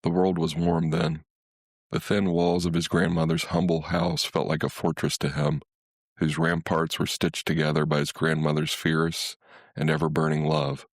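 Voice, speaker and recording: A man's voice: very deep voice, completely flat delivery, normal speed, vocal fry, dry recording, studio quality. A man reading a literary short story as scripted narration.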